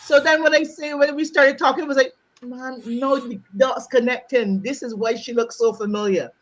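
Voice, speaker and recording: A woman's voice: sits high, fairly even intonation, normal speed, low-quality recording. A woman hosting a live-streamed interview, building up her guest with emphatic showman introductions. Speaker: show host.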